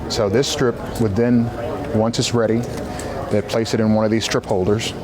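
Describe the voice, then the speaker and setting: A man's voice: lowish voice, level tone, normal speed, crowd noise. A man giving a matter-of-fact, procedural demonstration as an air traffic controller, recorded with ambient noise. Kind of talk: on-site demonstration. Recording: clear ambient background noise.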